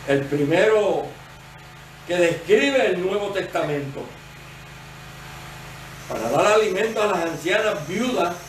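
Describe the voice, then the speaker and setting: A male associate pastor with a Puerto Rican accent gives a sermon in a fairly high voice, unhurried and deliberate. He explains step by step. He sounds set back from the mic in a roomy church, with faint noise behind. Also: recorded from a distance. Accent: Puerto Rican Spanish. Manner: measured, explanatory preaching.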